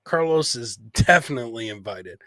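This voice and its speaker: A man's voice: medium pitch, animated, moderate pace, fairly bright tone, dry recording. A man hosting a casual livestream podcast, bantering informally with some swearing and lots of "man" and "brother". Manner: informal, profane, says "man" and "brother" often. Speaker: podcast host.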